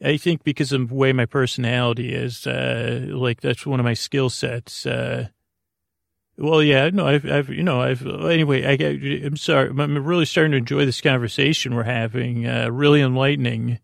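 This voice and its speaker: A man hosting a podcast in a rambling, whimsical bedtime monologue, halting and stammering, trailing off mid-thought with deadpan, absurd asides. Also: mid-range voice, creaky, dry recording, studio quality. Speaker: podcast host.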